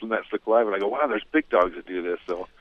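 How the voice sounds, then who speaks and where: A man's voice: fairly low pitch, fast. A man calling in to a radio show, talking hesitantly with fillers. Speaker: caller. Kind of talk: phone call to a radio show. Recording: tinny phone line.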